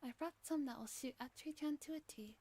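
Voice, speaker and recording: A woman's voice: sits high, brisk, warm tone, pitched above the performer's own voice. A woman voicing a friendly, helpful teenage schoolgirl in a visual novel, cheerful and casual.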